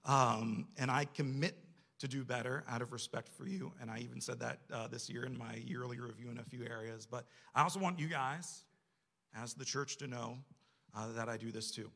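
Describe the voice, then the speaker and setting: A man's voice: mid-range voice, fairly even intonation, moderate pace, vocal fry, bright, forceful tone. A man preaching a sermon to a congregation in a conversational, self-deprecating way, often posing rhetorical questions. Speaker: church staff member preaching.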